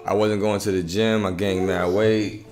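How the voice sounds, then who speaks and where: A man's voice: lowish voice, level tone, normal speed, over music. A man in his thirties from Connecticut being interviewed, talking casually in colloquial anecdotes with frequent check-in phrases. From Bridgeport, Connecticut. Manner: colloquial, anecdotal, frequent 'you know what I'm saying'. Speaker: basketball coach and youth facility worker being interviewed. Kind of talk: casual podcast conversation. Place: small indoor room. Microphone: desktop condenser microphone.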